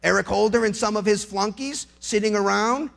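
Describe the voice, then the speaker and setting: A man's voice: high voice, moderate pace, creaky, fairly bright tone. An American man, a lawyer, giving an impassioned public speech, emphatic and sardonic, using rhetorical questions and repeating phrases for effect.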